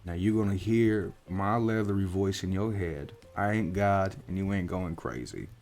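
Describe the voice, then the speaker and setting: A man voicing a gruff, streetwise orc warlock private eye with a leathery voice and an American country accent, in a folksy, reassuring roleplay. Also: sits low, moderate pace, a little mellow. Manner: folksy, matter-of-fact, reassuring. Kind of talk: soft-spoken ASMR roleplay.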